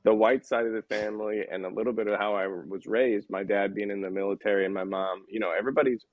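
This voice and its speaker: Low-voiced easygoing Midwestern man. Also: steady tone, fast talker, no echo, phone-quality sound. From American Midwest. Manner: rambling, easygoing storyteller. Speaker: podcast guest. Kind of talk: casual chat.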